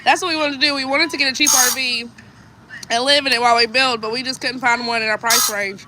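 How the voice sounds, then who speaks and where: A woman's voice: high-pitched, steady tone, quick pace, background noise. A woman with a stuffed-up nose, chatting and reading viewer comments aloud on a homesteading live stream. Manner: chatty, reads comments aloud, laughs easily. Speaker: homesteading vlogger.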